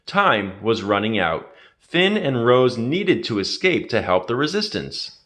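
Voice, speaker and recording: Animated male storybook narrator. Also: medium pitch, a little slow. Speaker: read-aloud narrator. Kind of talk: expressive children's storybook read-aloud.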